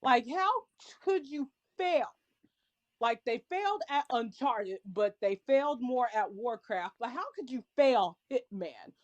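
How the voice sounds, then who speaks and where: High-voiced, emphatic, opinionated woman. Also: animated, slow pace, dry recording, muffled recording. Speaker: podcast panelist. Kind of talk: heated casual group chat.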